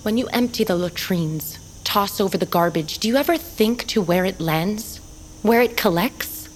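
A woman's voice: mid-range voice, expressive, moderate pace, a little hiss or hum, close to an everyday voice, low-quality recording. A woman playing a loyal, wary bird-folk rescuer in a fantasy audio drama, earnest and guarded. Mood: grave, protective.

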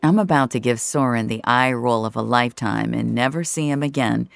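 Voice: medium pitch, brisk, creaky, no room sound, faint music behind. A narrator reading first-person audio fiction in a wry, colloquial way.

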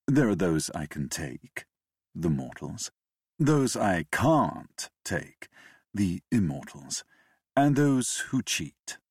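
A man's voice: low voice, a little mellow, breathy edge, no echo, exaggerated voice. A man with a British accent, reading as an ironic, brooding male Death in an audiobook. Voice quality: dry, ironic, philosophizing. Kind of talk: audiobook narration.

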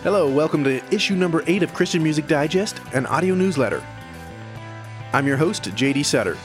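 A man's voice: fairly high pitch, lively intonation, a little fast. A man hosting an audio newsletter, reading scripted music news in a presenter's style. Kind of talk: scripted narration. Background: background music.

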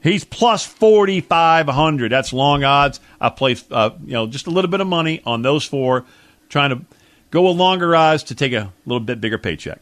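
A man's voice: on the high side, expressive, normal speed, fairly bright tone, no echo. A man co-hosting a sports radio show, talking casually in a colorful, slangy and hyperbolic way. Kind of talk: casual sports talk and betting picks.

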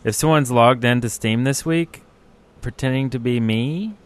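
A man's voice: medium pitch, moderate pace. A man chatting informally as a podcast co-host. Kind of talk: casual chat.

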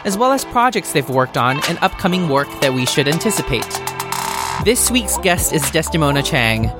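A podcast host reading a scripted show introduction in a steady, measured way. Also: medium pitch. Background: theme music.

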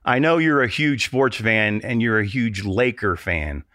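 A man's voice: lowish voice, animated, measured pace, sharp tone, no room sound, clean studio sound. A man filling in as host, teasing his co-host and introducing the guest with stumbling restarts. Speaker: podcast producer and fill-in host. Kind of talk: casual interview hosting.

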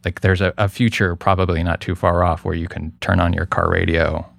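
A man with a low voice full of vocal fry, recorded dry and close. He talks casually and thoughtfully as a guest, pausing and rephrasing as he hedges his way through an answer. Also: flat delivery, dry recording. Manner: thoughtful, hedging, halting delivery. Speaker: guest, radio producer. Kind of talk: casual interview conversation.

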